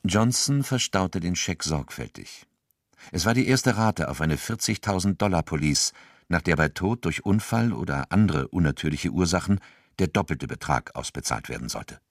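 A man's voice: deep voice, animated, normal speed. A man acting as the sober narrator of a crime audio drama, delivering scripted literary narration.

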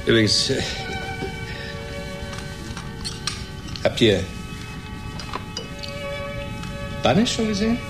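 An actor playing a boastful, hard-drinking former student tinkerer in a German radio play, colloquial and halting. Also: male voice, mid-range voice, wildly swooping pitch. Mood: casual. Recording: 1980s radio drama with music.

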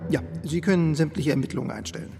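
A fairly high male voice snaps out quick, curt dismissals as an East German official in a radio play. The voice rings in a long reverb. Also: vocal fry. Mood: curt, dismissive. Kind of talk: radio drama acting. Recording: reverberant, music behind.

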